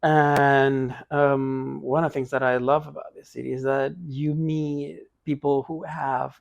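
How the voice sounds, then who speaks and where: Gravelly Venezuelan man, dry mic. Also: mid-range voice, flat delivery, a little slow, no room sound. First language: Spanish. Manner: rambling, many restarts and fillers, laughs easily. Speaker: podcast guest. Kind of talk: casual interview talk.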